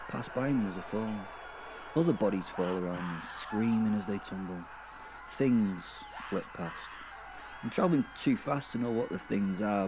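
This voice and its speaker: Low-voiced, sweary, deadpan werewolf played by a man. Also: background noise. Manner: sweary, deadpan, wry, halting. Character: crude, sardonic male werewolf narrating his own afterlife. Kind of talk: first-person dark fantasy audio drama narration.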